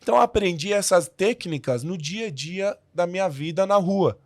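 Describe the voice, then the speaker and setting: High-voiced man telling his story, dry sound. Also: lively intonation, dry recording. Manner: halting, many restarts and fillers. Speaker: podcast guest. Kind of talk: casual storytelling interview.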